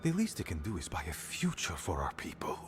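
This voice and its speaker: An actor playing an aging, pragmatic dwarf leader in a fantasy game, speaking in a grave, measured, dryly blunt way. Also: male voice, low-pitched, wildly swooping pitch, music in the background. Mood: blunt, weary. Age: older. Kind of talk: fantasy video game dialogue.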